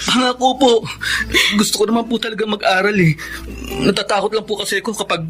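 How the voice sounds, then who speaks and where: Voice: medium pitch, steady tone, fast talker, fairly bright tone, soft background music, lightly acted. An actor playing a sweet, polite young orphan boy in a radio drama, hesitant and pleading. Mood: anxious pleading.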